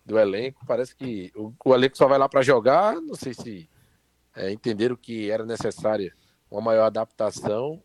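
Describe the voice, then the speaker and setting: A man's voice: lowish voice, animated, moderate pace, no echo. A man reporting club news on a sports talk show, informative and conversational.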